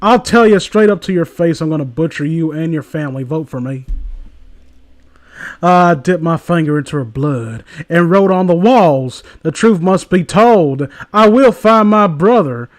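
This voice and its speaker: A man voicing an earnest teenage girl serial killer from amateur fiction, with solemn, drawn-out declarations. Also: fairly high pitch, lively intonation, no room sound. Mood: solemn, menacing.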